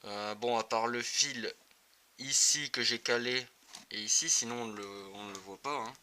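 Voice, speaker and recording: A man's voice: mid-range voice, moderate pace, bright, forceful tone. A man giving a casual, improvised and somewhat hesitant hands-on product demonstration for a video. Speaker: motorcycle gear video reviewer. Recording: clearly noisy amateur video recording.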